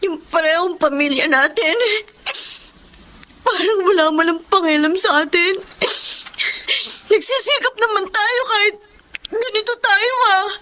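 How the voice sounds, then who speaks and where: Very high-voiced young Filipina wife. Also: female voice, a little slow, pauses often, sharp tone, heavily acted. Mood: tearful, hurt. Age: young adult. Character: tearful, hurt young wife and mother, affectionate. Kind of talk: Filipino audio melodrama acting.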